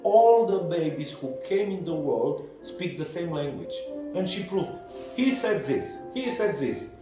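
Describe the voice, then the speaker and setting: Slow older man with Romanian accent. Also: fairly high pitch, very animated. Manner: halting, searching for English words. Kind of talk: casual conversation. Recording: echoey room with music behind.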